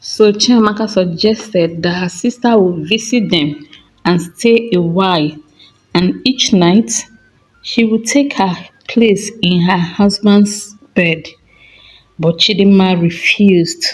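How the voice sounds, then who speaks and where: A woman tells a folktale as a scripted story. She takes her time, speaking slowly in short, measured phrases with a dramatic storyteller's delivery. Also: mid-range voice, rough recording.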